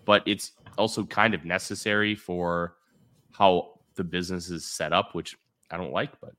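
A man's voice: sits low, steady tone, moderate pace, sharp tone. A man hosting a podcast, talking in a laid-back, loose way with frequent fillers. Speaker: podcast host. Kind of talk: casual chat.

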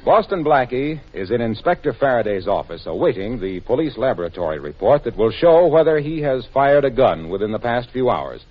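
A man works as an announcer in 1940s radio style. His scripted narration is highly animated, in a husky mid-pitched voice. The recording is dull and dated. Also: brisk, few pauses, soft background music.

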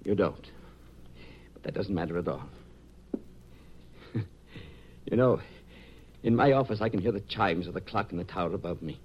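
An actor playing a shaken, brooding man obsessed with time, in a halting, theatrical 1950s radio style. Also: low-pitched, slight background noise, lightly acted. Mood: haunted, musing. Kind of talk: 1950s radio drama acting. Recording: dull vintage 1950s radio recording.

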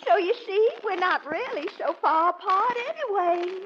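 A woman's voice: very high-pitched, expressive, moderate pace, a bit bright. An actress playing a coy, coaxing, flirtatious woman in her thirties in 1940s radio comedy style. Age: 30s. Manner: coaxing, theatrical. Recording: muffled, noisy 1940s radio recording.

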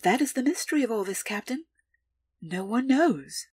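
A woman playing a calculating, deferential underworld information broker in an audio drama, with formal, measured, precise delivery. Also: a little high, very animated, a little mellow, breathy edge, no echo, clean studio sound. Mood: cool, deliberate.

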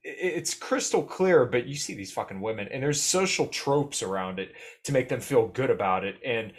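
A man's voice: medium pitch, animated, normal speed. A young man in his twenties on a casual political podcast, earnest and opinionated, often restarting his sentences.

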